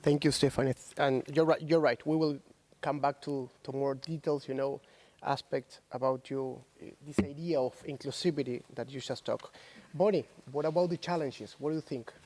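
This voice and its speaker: Male panel moderator, average pitch, steering a discussion between speakers. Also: fairly even intonation, normal speed, a little mellow.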